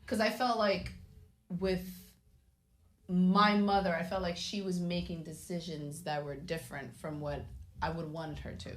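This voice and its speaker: Lower-pitched woman in an echoey room. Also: fairly even intonation, a little slow. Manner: candid, loud and teasing at times. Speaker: podcast co-host. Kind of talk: casual chat.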